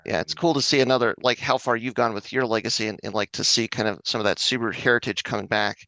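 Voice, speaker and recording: Bright gravelly man, dry sound. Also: medium pitch, fairly even intonation, fast talker, no room sound, low-quality recording. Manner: enthusiastic, casual, filler words like "like". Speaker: interviewer, co-host. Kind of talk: casual interview chat.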